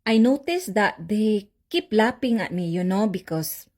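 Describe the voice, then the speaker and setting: A woman with a Filipino accent talks straight to her viewers in a frank, chatty vlog. Her voice sits at a middle pitch for a woman. She speaks openly and casually, as if chatting with friends. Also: lively intonation, unhurried, darkish tone. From Philippines. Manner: chatty, frank, mimics mocking laughter. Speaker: vlogger. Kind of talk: casual talk to camera.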